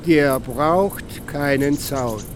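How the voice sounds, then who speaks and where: A man from Russia, a professor reciting proverbs in a halting, deliberate way, with vehicle noise behind. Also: a little high, faint music behind. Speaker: language professor. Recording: faint car and outdoor noise.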